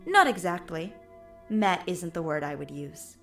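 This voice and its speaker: A woman's voice: fairly low pitch, animated, unhurried, vocal fry, soft background music. A woman playing a guarded, cryptic female ghost in an audio drama, speaking in an aloof, challenging and enigmatic way. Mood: enigmatic, probing.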